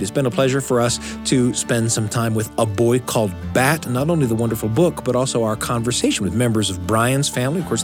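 A man hosting a radio storytelling show, speaking with expressive, theatrical emphasis. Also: medium pitch. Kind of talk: storytelling radio presenting and chat.

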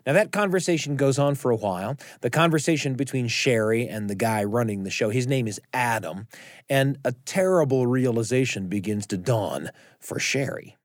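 A man hosting and narrating a radio storytelling show, with dramatic, deliberate phrasing. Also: medium pitch, expressive, no echo, clean studio sound. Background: guitar music.

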